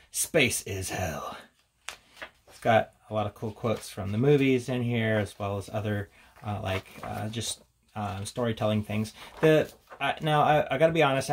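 Casual man with a creaky voice. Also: lowish voice, slight background noise. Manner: hesitant, informal, thinking aloud. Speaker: host of a tabletop gaming channel. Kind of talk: casual unscripted product walkthrough.

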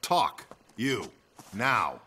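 Lively, low-voiced cocky superhero. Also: male voice, drawn-out words, breathy edge, heavily acted. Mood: taunting. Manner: sardonic, punchy. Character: cocky, wisecracking male superhero, brash and sardonic. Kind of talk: dramatic video game acting.

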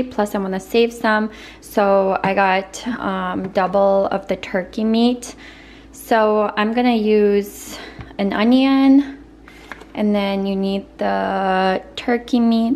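A young woman, a mom vlogger, casually naming her ingredients and cooking steps in her home kitchen, with relaxed fillers along the way. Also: mid-range voice, slight background noise. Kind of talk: casual recipe walkthrough.

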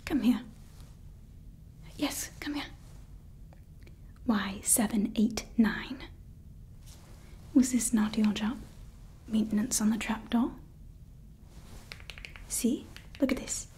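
A woman's voice: a little high, slow, long pauses, dark tone, slightly rough, full character voice. A young woman playing a haughty female evil scientist in an ASMR roleplay, whispering close to the mic, scolding her staff and fussing over her creation. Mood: irritated, scolding. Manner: mostly whispered, scolding and fussy. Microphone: close sensitive ASMR mic.

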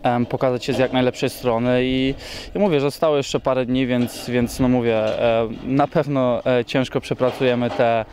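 A young man, a football player, giving an interview outdoors at a training ground, halting and full of fillers and repeated words. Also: medium pitch, steady tone, darkish tone. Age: young adult.

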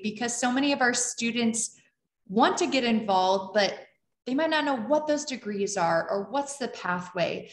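A woman in her thirties hosting an online event, introducing a guest and asking questions in a friendly, upbeat way over a video call. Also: medium pitch. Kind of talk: welcoming introduction and interview. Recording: roomy video call audio.

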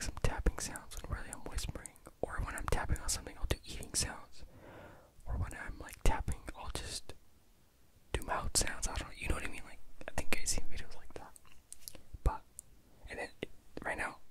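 A young adult ASMR host whispering casually in a rambling, slangy storytelling style, close to the microphone. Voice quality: unvoiced whisper.

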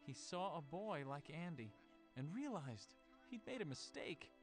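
A man's voice: on the high side, big pitch swings, unhurried. A man reading a children's story as a dramatic narrator. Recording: music under the voice. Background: loud music.

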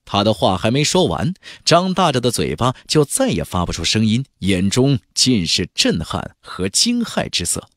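Highly animated male audiobook narrator. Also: moderate pace, few pauses, dry recording, studio quality. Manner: expressive, storyteller delivery. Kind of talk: dramatic scripted narration.

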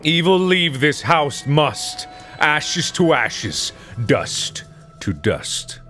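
A man's voice: fairly high pitch, sing-song, extremely slow, a bit bright, faint music behind, pitched above the performer's own voice, full character voice. A man voicing a frightened boy who solemnly chants a protective charm in a horror game. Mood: drawn-out, intense. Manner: solemn, incantatory. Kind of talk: horror visual novel character reading.

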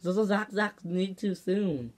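A little cartoon toddler boy, played by a woman, needy and babbling. He drags out his words slowly in repetitive baby talk during an improvised play. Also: medium pitch, close to an everyday voice. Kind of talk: improvised character play vlog.

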